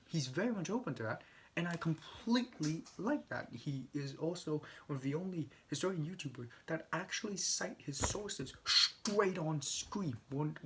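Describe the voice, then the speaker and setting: A man's voice: on the high side, slight background noise, rough recording. A young man rambling casually, swearing often and stumbling over restarts.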